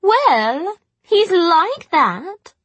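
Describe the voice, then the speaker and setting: A woman's voice: extremely high pitch, very animated, extremely slow, fairly bright tone, no room sound, dull audio, pitched above the performer's own voice, exaggerated voice. A woman voicing a proud, bored and tactless young society lady in an audiobook, with an airy drawl. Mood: bored, smug. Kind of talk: audiobook character voice.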